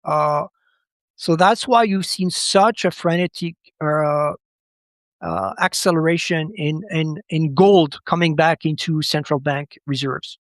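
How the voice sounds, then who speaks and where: A fairly high-voiced man who speaks as a gives his analysis as a podcast guest. He talks haltingly, doubling back on words as he looks for the right phrase. The sound is dry and close. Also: no room sound. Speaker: podcast guest, macro commentator. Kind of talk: conversational analysis.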